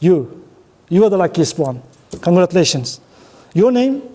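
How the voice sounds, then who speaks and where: High-voiced, mellow Uzbek-accented man presenting. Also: very animated. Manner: halting, choppy non-native English. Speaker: conference speaker running an audience networking game. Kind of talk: informal interactive presentation to an audience.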